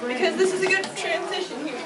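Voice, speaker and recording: A woman's voice: very high voice, quick pace. A woman hosting a live fan panel with playful, informal banter, speaking to an audience. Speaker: fan convention panel host. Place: echoey room at a fan convention. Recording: echoey, distant mic, crowd noise. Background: audience chatter.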